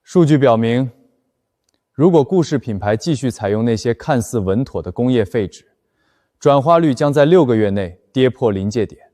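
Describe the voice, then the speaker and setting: A man playing a commanding, unflappable company boss in an animated drama, speaking with precise, businesslike authority. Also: mid-range voice, normal speed, no echo, studio quality, close to an everyday voice. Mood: confident, persuasive. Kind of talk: animated web drama dialogue.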